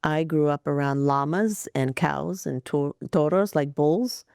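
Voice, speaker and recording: A woman's voice: low-pitched, unhurried, vocal fry, a little mellow, smooth, no echo, studio quality. A woman in her forties from Atlanta, a business founder telling her story as a podcast guest, with fillers and detailed lists. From Atlanta, Georgia, USA. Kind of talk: casual interview talk.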